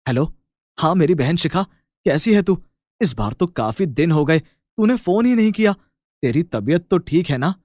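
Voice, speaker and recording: A man plays a humble village farmer in a cartoon bedtime story. His voice sits fairly high and is recorded dry. He is an affectionate elder brother with an earnest, fretful way of talking. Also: animated, normal speed, a little mellow, no echo.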